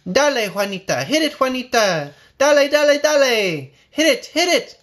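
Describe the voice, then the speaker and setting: A man voicing excited, impatient children shrilly cheering and urging each other on in a storybook reading. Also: moderate pace, heavily acted. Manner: shrill, urgent shouting.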